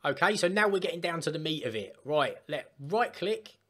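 A man chatting casually through a step-by-step software tutorial for gamers. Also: on the high side, sing-song, normal speed. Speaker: gaming tutorial host. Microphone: desktop microphone, voice-over of screen capture.

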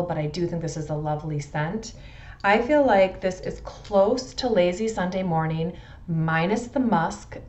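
Woman, middle-aged, lowish voice, thoughtful reviewer, casual to-camera delivery, slightly dull home recording. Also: a little mellow. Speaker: fragrance reviewer. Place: indoors in a furnished room at home.

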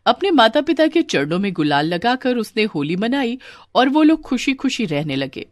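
Animated woman story narrator. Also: a little high, moderate pace, no room sound, studio quality. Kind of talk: expressive scripted story narration.

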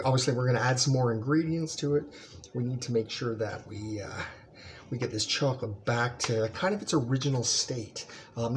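Middle-aged man, relaxed home cooking chat, built-in camera mic, home kitchen, faint clatter behind. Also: mid-range voice, a little hiss or hum. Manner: relaxed, talks while stirring, many ums. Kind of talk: casual cooking demonstration. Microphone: built-in camera mic, close framing.

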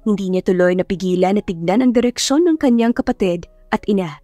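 A woman's voice: medium pitch, a little fast, warm tone, dry recording. A woman audiobook narrator reading a scripted romantic drama in a dramatic storytelling style. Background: background music.

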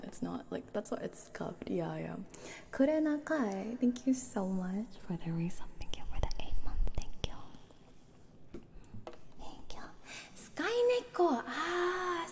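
A young woman streamer chatting in a soft, whispery ASMR voice, often giggling, close to the mic. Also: mid-range voice, gravelly, a little hiss or hum. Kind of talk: soft ASMR chat and singing. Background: soft guitar.

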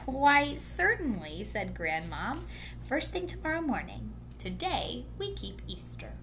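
A woman reading a children's picture book aloud in an expressive storytelling manner, lightly acting out the dialogue. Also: high-pitched, a little slow. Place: indoors at home, small room. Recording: noisy home recording with steady hum.

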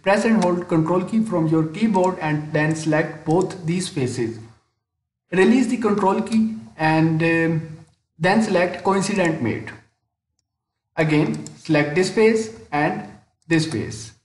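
A man with a high-pitched voice narrates a software tutorial step by step. He speaks very slowly and hesitantly, pausing in the middle of sentences. The recording is roomy, with audible echo around his voice. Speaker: tutorial instructor. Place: indoor room, screen-recording voice-over. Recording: roomy, echoing voice-over.

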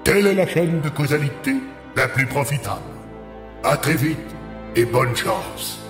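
A man's voice: on the high side, lively intonation, a bit bright, faint music behind. Man as an echoing, solemn cosmic oracle. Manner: grave, measured, oracular. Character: solemn, all-knowing cosmic spirit giving cryptic counsel. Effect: reverb. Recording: long echoing hall reverb.

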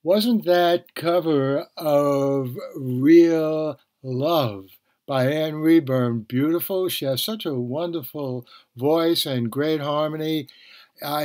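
An older man hosting a podcast, talking casually and deliberately. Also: fairly high pitch, darkish tone. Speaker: podcast host, author and professor.

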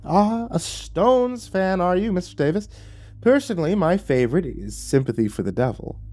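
A theatrical, mocking devil, pitched well above a normal male voice. He growls and gloats with lofty amusement, like a villain in a fantasy audiobook who enjoys teasing his victim. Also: expressive, normal speed, a little mellow. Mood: amused, lofty. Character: gleeful mocking devil, taunting and theatrical, sometimes a mountain lion.

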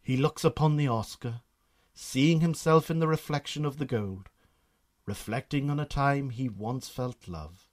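A man's voice: mid-range voice, a little slow. A man with a Northern Irish accent narrating a scripted radio drama.

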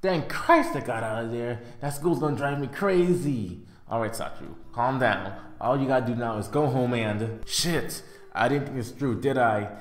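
A man's voice: mid-range voice, moderate pace, few pauses, reverberant, close to an everyday voice. A man voicing an exasperated, scheming schoolboy with a sarcastic, crude inner monologue in an anime parody dub. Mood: muttering, wry. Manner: sarcastic, self-talking, crude.

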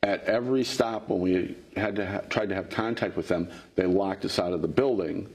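A middle-aged man, a factory worker, answering interview questions in an earnest way that rises in pitch when he gets indignant, recorded on a lapel mic in a studio. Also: moderate pace, darkish tone, husky. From Illinois. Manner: earnest, grows heated and higher when indignant. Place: television studio.

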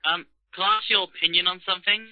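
A man's voice: high-pitched, steady tone, quick pace, dry recording. A young man asking questions in a casual chat over a voice call. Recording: tinny phone-like call audio.

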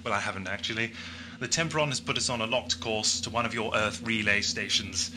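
A man's voice: fairly low pitch, fairly even intonation, fairly quick, natural voice. A man playing a genial, absent-minded time-travelling Doctor in a sci-fi audio drama, musing and hesitant. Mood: cheerful, wistful. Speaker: actor. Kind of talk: 1980s amateur sci-fi audio drama acting. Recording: noisy old cassette audio drama.

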